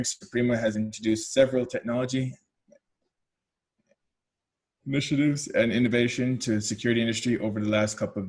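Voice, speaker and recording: A man's voice: mid-range voice, darkish tone. A man with a Canadian accent presenting a product webinar as a technical lead, explaining step by step with frequent fillers and self-corrections.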